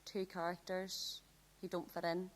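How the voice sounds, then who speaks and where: A woman plays a lovestruck teenage girl in a radio drama. Her voice sits slightly low for a female, and she speaks openly and intimately, with natural hesitations. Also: monotone, a little slow, slight background noise, natural voice. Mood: wistful narration. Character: Cassidy, lovestruck teenage girl, open and tender.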